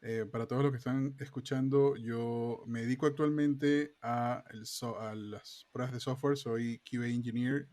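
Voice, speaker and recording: A man's voice: mid-range voice, steady tone, normal speed, few pauses, darkish tone. A man, a guest telling his story in a thoughtful, rambling way with many fillers. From Peru, raised in Venezuela. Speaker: guest, software QA engineer. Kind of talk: casual interview conversation. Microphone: remote call setup.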